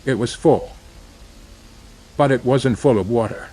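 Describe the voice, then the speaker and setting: An actor playing a plain-spoken drilling contractor giving first-person testimony in a horror tape. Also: male voice, medium pitch, measured pace, background noise, close to an everyday voice. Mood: puzzled, uneasy.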